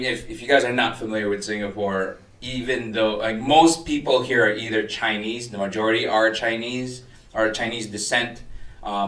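A man with a neutral American accent carrying Filipino inflections, co-hosting a casual podcast in an animated, chatty way. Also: medium pitch, recorded from a distance. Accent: Filipino-inflected American English. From Philippines. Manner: animated, chatty, laughs while teasing. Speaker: podcast co-host. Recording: echoey room, voice a bit off-mic.

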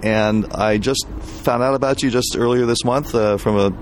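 A man hosting a podcast, asking halting questions full of restarts. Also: mid-range voice, fairly even intonation, a little hiss or hum, low-quality recording. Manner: halting, many fillers and restarts. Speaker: podcast host. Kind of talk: interview questions.

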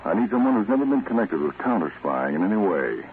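A man's voice: fairly low pitch, big pitch swings. A man playing a terse, authoritative counter-spy chief in a 1940s radio drama. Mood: grave, businesslike. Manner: terse, authoritative, deliberate instructions. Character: commanding male American counter-spy chief, brisk and secretive. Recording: tinny, noisy vintage radio recording.

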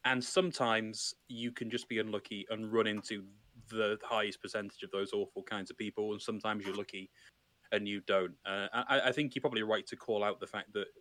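A man's voice: lowish voice, fairly even intonation, brisk, a bit bright. A man hosting a casual podcast, reflecting thoughtfully on what his guest says. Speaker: podcast host.

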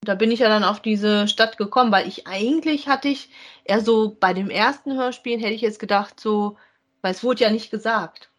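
A woman's voice: mid-range voice, normal speed. A woman chatting casually as a podcast co-host, informal and thinking aloud as she goes. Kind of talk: casual conversational review of audio dramas.

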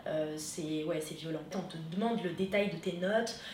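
Woman from algeria, talks slow and halting, lots of pauses, roomy sound with a bit of hiss. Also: low-pitched, a little mellow. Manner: hesitant, many pauses and "euh". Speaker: interviewee giving testimony. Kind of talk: candid conversational testimony. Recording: roomy echo, faint background noise.

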